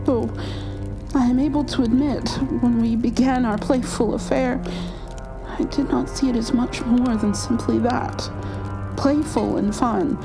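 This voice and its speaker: Woman playing an earnest, higher-voiced vampire noblewoman. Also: steady tone, music in the background, rough recording. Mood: deferential, earnest. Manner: formal, earnest, deliberate. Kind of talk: ASMR roleplay acting.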